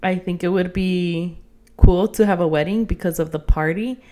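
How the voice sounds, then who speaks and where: Woman, podcast co-host, slightly low pitch, soft mellow tone, casual chat, thinking aloud. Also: moderate pace.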